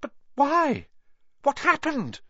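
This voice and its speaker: Man doing a high, bewildered young boy. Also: sing-song, a little slow, no echo, lo-fi sound, full character voice. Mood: shocked, urgent. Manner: short startled questions. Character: young boy, bewildered, urgent, full of questions.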